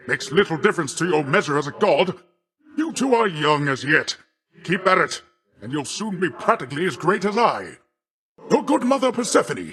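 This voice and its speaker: A man's voice: a little high, fairly bright tone. A man voicing a boisterous, boastful sea god in a video game, a jovial, doting uncle who speaks in hearty, grandiloquent, old-fashioned phrasing. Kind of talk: theatrical video game character voice acting.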